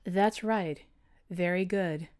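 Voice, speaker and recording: A man puts on a wise female owl from a storybook. The voice is soft and smooth, pitched well above his own. She speaks slowly and calmly, gently lulling like a hypnotist. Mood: soothing, encouraging. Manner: calm, slow, hypnotic, soothing. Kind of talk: storybook character voice.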